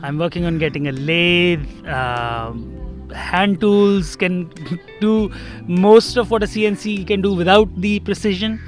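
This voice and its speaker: A young man from Pakistan answers interview questions in a relaxed way, with some uh and um fillers. His voice sits slightly high. Faint music plays behind him. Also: a little slow. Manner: relaxed, uh and um fillers, laughs lightly. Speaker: guest.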